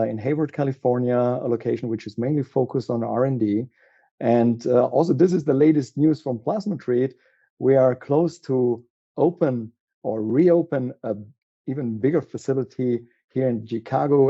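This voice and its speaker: German-accented man with a very dark voice. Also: mid-range voice, muffled recording. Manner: halting, with frequent fillers and restarts. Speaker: podcast guest. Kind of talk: conversational interview.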